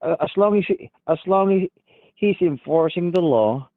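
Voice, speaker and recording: Fifties man caller, halting and hesitant, thin dry phone line. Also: on the high side, animated, no room sound. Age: 50s. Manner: hesitant, many uhs and restarts. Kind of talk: phone-in conversation.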